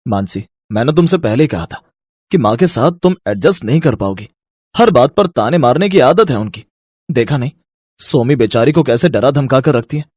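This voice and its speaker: A caring young husband in a cartoon moral story played by a man. He is earnest and firm as he explains himself. His voice sits at a middling male pitch in a dry recording. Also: expressive, moderate pace, darkish tone, slightly rough, no room sound. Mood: concerned, resigned. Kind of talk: Hindi animated moral-story voice acting.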